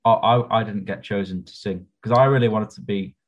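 A man's voice: fairly low pitch, fairly even intonation, brisk, dull audio. A man co-hosting a podcast, easygoing, telling anecdotes from school shows. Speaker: podcast co-host. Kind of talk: casual chat about musicals.

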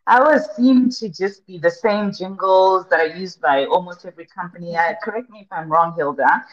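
A woman's voice: lowish voice, fairly quick. A woman hosting a podcast interview, chatty and loose in her phrasing. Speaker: podcast host. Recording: dull lo-fi audio.

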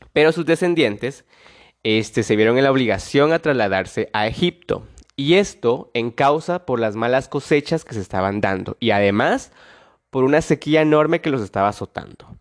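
A man with a blocked nose from a cold hosts a solo history podcast at an ordinary pitch for a man. He talks in a relaxed, conversational way, restarting phrases now and then and often closing with a small tag question. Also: moderate pace. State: nasal congestion from a cold. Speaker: podcast host.

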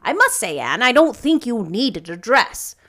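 A woman's voice: on the high side, very animated, bright, forceful tone, exaggerated voice. A woman playing a stern, no-nonsense older woman. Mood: brisk disapproval. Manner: brisk, bossy, matter-of-fact. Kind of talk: storybook narration character voice.

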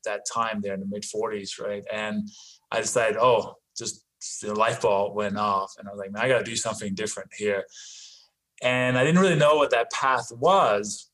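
A man's voice: on the low side, fairly bright tone, low-quality recording. A man in his forties from Denmark with a slight Danish accent, talking casually as a podcast guest, full of "you know" and "right?". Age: 40s. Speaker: podcast guest, real estate investor. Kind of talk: casual interview talk.

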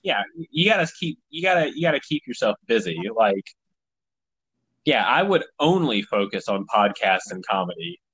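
A man's voice: medium pitch, sing-song, moderate pace, fairly bright tone, slightly rough, no room sound, poor audio. Wry Southern American man, dry close sound. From Tennessee. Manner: wry, sweary, acts out quoted remarks. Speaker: podcast host. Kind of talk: casual comedic chat.

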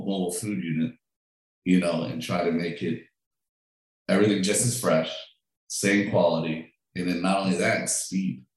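A deep-voiced man from Peru talks about his cooking in a loose, conversational way, often doubling back on his words. His voice rings with heavy echo from the room around him. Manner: relaxed, chatty, with frequent restarts and fillers. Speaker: food truck owner and chef. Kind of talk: interview answers. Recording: very echoey room.

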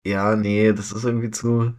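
A man's voice: lowish voice, little pitch movement, moderate pace, a little mellow. A man in his twenties co-hosting a podcast in casual, comedic chat, talking in an informal, jokey, slangy way. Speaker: podcast co-host.

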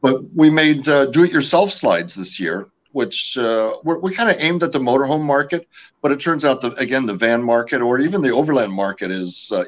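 A man from California, raised speaking French at home, talking about his company's products in a casual interview, with many fillers and restarts. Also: mid-range voice. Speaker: company representative.

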